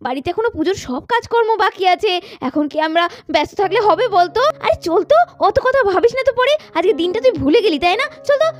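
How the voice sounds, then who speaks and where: A woman's voice: very high-pitched, expressive, fast talker, no echo. A woman voicing chatty, bustling young village women of a household in a children's doll cartoon. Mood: hesitant.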